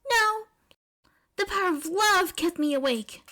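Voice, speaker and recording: A woman's voice: extremely high pitch, expressive, a little slow, bright, forceful tone, pitched above the performer's own voice, exaggerated voice. A woman voicing a blunt, eager teenage girl inventor in love, bubbly and emphatic, in a fan fiction audio reading. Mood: excited, eager.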